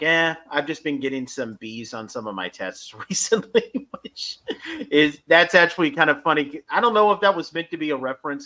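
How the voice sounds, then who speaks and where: A man with a very bright, forward voice chats as a podcast co-host. His pitch sits in the middle range. He speaks with fannish enthusiasm, wandering and halting as he works through his thoughts. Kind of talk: casual fan review discussion.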